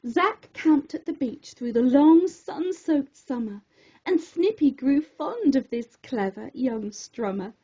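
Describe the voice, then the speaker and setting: A woman reading a rhyming children's bedtime story aloud in a theatrical, expressive way. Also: high voice, dull audio.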